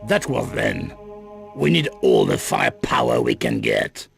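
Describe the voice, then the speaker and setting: An actor playing a wary, menacing underworld boss in a video game, guarded and dismissive in manner. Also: male voice, extremely low pitch, wildly swooping pitch, unhurried, vocal fry, fairly bright tone, soft background music, exaggerated voice. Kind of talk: video game cut-scene acting.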